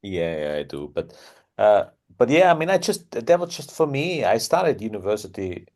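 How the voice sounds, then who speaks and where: Deep-voiced Austrian man, hesitant. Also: sing-song, moderate pace, darkish tone. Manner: hesitant, many restarts, non-native phrasing. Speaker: guest guitarist. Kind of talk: casual interview talk.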